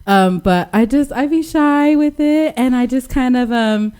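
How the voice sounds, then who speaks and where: A young woman from Western Samoa, a musician guest on a radio interview, talking casually and softly with slang and frequent laughs. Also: sits high, measured pace, clean tone. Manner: soft-spoken, laughs often, slangy.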